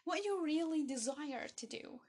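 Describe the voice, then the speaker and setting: A young woman whose first language is Arabic, giving an earnest motivational talk in a halting way, often repeating and restarting phrases. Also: high-pitched, animated, darkish tone. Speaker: motivational speaker. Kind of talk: motivational monologue to camera.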